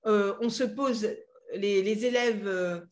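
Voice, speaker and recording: A woman's voice: mid-range voice, steady tone, slow pace. A French woman hosting an interview, chatting easily with lots of fillers and laughter. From France. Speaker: host and French teacher. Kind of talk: friendly interview chat.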